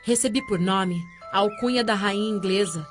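A woman acting as a brooding sorceress of the Victorian era tells her own tale in an audio drama. She speaks solemnly and with measured, literary phrasing at a middle pitch, over prominent music. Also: close to an everyday voice. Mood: solemn, mysterious. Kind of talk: dramatic scripted first-person audio drama narration.

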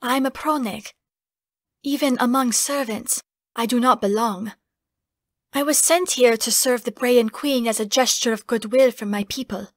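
A woman's voice: on the high side, measured pace, no echo, studio quality. A woman voicing a homesick, earnest young servant in a fantasy audiobook, wistful and deferential. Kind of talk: fantasy audiobook character acting.

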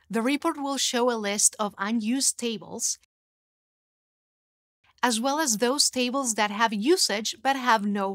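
A woman in her thirties presenting a scripted technical explainer in a studio, in a clear, even, instructive way. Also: on the high side, dry recording. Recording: dry studio sound.

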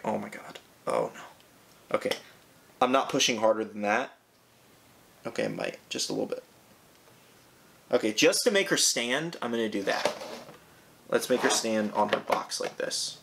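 A young man with a hoarse, raspy voice from a sore throat, chatting casually as he unboxes a collectible toy in his home room. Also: mid-range voice, fairly bright tone. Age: young adult. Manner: chatty, rambling, eager.

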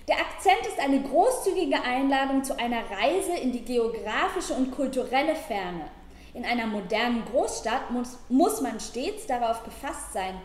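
High-voiced woman reading in an echoey room. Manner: measured, careful literary reading. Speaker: university language lecturer. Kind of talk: presenting and reading an essay aloud. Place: high-ceilinged ornate room, seated at a table.

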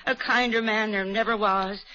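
A woman's voice: mid-range voice, steady tone, moderate pace, bright, forceful tone, natural voice. An actress playing a greedy con woman who poses as a sweet old mother, fussing sugarily over visitors. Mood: sugary. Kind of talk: 1940s radio acting. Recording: dull lo-fi old radio broadcast.

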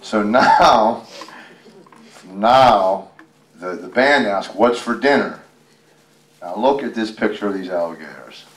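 Low-voiced man in an echoey room. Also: animated, slow, long pauses. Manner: chatty, folksy, rambling asides to listeners. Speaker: guest storyteller, tribute performer. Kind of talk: reading a picture book aloud with casual ad-libbed commentary. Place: public library reading event. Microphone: handheld microphone. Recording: roomy, echoey, distant microphone pickup.

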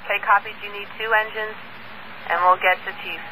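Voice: medium pitch, steady tone, fairly quick, bright, forceful tone. An emergency dispatcher giving brief procedural read-backs over a two-way radio. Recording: slightly noisy scanner radio.

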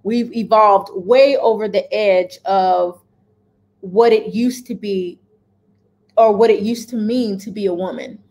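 A woman's voice: medium pitch, slow, a little mellow. A woman in her thirties giving her views to camera from her home kitchen, direct and emphatic, often checking in with "okay?". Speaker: lifestyle vlogger. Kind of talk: casual opinion chat to camera.

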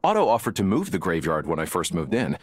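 A solemn spiritual leader in a cozy game, low voice, lots of rise and fall. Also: male voice, wildly swooping pitch, quick pace, no echo, heavily acted. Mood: earnest, solemn. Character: earnest, philosophical male village spiritual center leader. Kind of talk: video game character dialogue.

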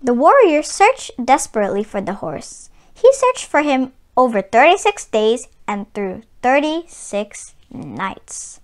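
A woman reading a children's picture book aloud, with expressive, deliberate phrasing. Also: sits high. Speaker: storyteller and read-aloud channel host.

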